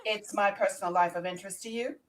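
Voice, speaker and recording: A woman's voice: mid-range voice, fairly even intonation, fast, far from the mic, close to an everyday voice. A woman playing an earnest, probing male asylum doctor in a gothic horror play. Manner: formal, questioning. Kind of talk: amateur script read-through of a gothic horror play.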